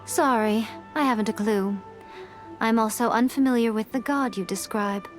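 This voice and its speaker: A woman's voice: on the high side, normal speed, soft background music, natural voice. A woman voicing a sly, playful fox-spirit woman in a game, with a poised, teasing way of speaking. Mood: calm. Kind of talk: game dialogue.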